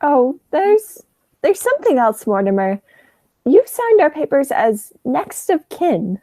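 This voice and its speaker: Woman acting as genteel old aunt, high lilting voice, sweet, dithering, radio play. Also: big pitch swings, takes it slowly, warm tone, dry recording, exaggerated voice. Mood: gentle, chatty. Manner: polite, dithering.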